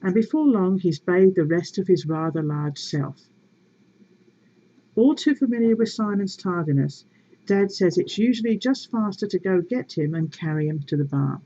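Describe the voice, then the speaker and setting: Woman doing storytime, soft mellow kinda husky voice, wry expressive reading, dull lo-fi home recording. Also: on the low side, moderate pace. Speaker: storyteller reading a book aloud to viewers. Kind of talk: read-aloud storytelling with casual asides.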